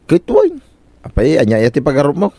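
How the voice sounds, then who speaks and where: A man's voice: on the high side, wildly swooping pitch, a little fast, warm tone, exaggerated voice. A man playing a chatty, teasing driver buddy with an Ilocano accent in a radio comedy drama.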